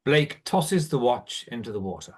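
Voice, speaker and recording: Mid-pitched man reading stage directions. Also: very animated, normal speed, breathy edge. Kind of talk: scripted narration.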